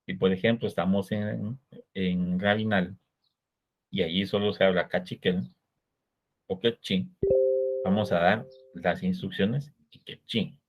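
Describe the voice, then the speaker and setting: A Guatemalan man with a Guatemalan Spanish accent giving a recorded law lecture, explaining in a measured way and posing rhetorical questions to his students. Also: low-pitched, fairly even intonation. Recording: dull lo-fi recording.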